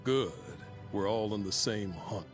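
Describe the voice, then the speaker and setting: Man playing a lowish-voiced resolute warrior. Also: a little slow, faint music behind, close to an everyday voice. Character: steady, resolute male warrior companion. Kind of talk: dramatic video game cutscene acting.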